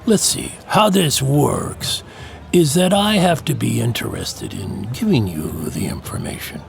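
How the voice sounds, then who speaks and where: An actor playing a shrewd, evasive temple prior in an audio drama, with a sardonic and halting delivery. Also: male voice, medium pitch, animated, gravelly, a little hiss or hum. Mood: cagey, sardonic. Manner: sardonic, hesitant drawl with stammered repeats.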